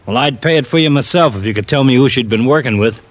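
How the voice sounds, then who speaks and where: An actor playing an exasperated but loyal police lieutenant in an old-time radio detective drama, with a blunt, irritated delivery. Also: male voice, mid-range voice, quick pace, natural voice. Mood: businesslike.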